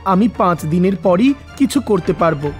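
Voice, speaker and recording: A man's voice: sits high, expressive, normal speed. A man voicing an earnest young village doctor and pleading son in a cartoon. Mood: serious. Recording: music under the voice.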